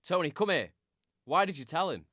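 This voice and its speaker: A man's voice: on the high side, expressive, fairly quick, bright, forceful tone, no room sound, studio quality. An actor playing a callous, greedy male circus owner in a radio drama, blunt and contemptuous. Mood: cold, contemptuous.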